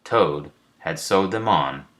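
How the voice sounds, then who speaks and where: A man with a deep voice reads a children's story aloud. His delivery is very unhurried and deliberate, suited to bedtime. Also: lively intonation, fairly bright tone. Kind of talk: storybook narration.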